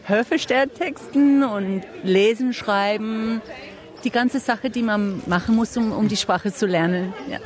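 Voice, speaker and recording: A woman answers interview questions in learner German. She keeps stopping to hunt for words and fills the pauses with small hesitations. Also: mid-range voice, a faint crowd. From Australia. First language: English. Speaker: interviewed parent.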